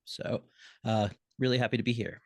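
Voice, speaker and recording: A man's voice: mid-range voice, level tone, normal speed, a little mellow, dry recording, clean studio sound. A middle-aged man casually introducing himself as a panelist on a video call. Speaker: panelist, lighting designer.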